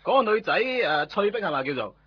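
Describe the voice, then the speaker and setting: An actor playing a pompous, excitable veteran policeman with a Hong Kong Cantonese accent in a radio drama, officious and blustering. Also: high-pitched, fairly quick, a little hiss or hum. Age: middle-aged. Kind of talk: comic radio drama acting. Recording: muffled radio drama recording.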